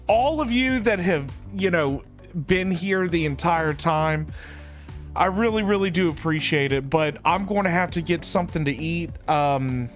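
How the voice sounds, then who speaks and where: Male streamer, high voice, slow rambling casual delivery, slangy and repetitive. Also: soft background music. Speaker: game streamer. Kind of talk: casual live stream commentary.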